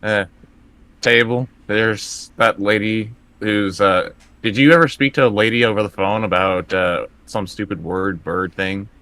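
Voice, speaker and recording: A man's voice: fairly low pitch, fairly even intonation, unhurried, sharp tone, no room sound. A man playing a tabletop role-playing game, improvising his actions and lines in a casual, conversational style. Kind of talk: casual in-character improvised play.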